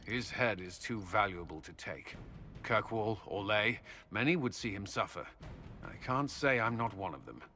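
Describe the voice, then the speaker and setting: A stern, bright-voiced commander. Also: male voice, on the low side, expressive, soft background music. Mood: grave. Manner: firm, measured and formal. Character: stern male military commander, disciplined and principled. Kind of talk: video game voice acting.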